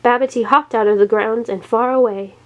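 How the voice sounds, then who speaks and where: A woman reads a children's story aloud as the storyteller. Her voice sits a little higher than average, in a narrated read-aloud style. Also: fairly even intonation, fairly quick, narrow, tinny audio.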